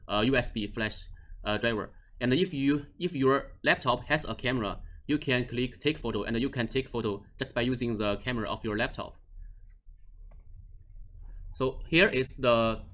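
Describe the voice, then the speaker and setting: Man calmly talking through a software setup. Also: medium pitch. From Australia or New Zealand region office. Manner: plain step-by-step explaining with frequent restarts and "uh"s, non-native phrasing. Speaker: product trainer. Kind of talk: screen-recorded software tutorial.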